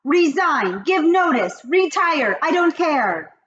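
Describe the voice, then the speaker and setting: A woman voicing the Grand High Witch, an imperious, shrieking female witch leader who drags out her commands. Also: big pitch swings, fairly bright tone, roomy sound, full character voice. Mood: commanding. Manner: slow, drawn-out, bellowing commands. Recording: dull lo-fi recording.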